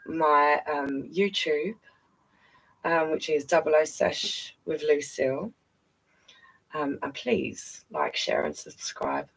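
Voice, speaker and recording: Slow, husky woman reading a children's story. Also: low-pitched, fairly bright tone, poor audio. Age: adult parent. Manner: addresses child listeners with frequent questions and tag questions. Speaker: story-time channel host. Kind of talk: live children's story reading with chatty asides.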